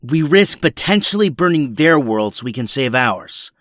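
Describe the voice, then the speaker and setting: A man acting as a grizzled older rebel captain in an audio drama. He is weary and principled, grave and commanding, in a mid-pitched voice recorded dry. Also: sing-song, moderate pace, a bit bright, dry recording.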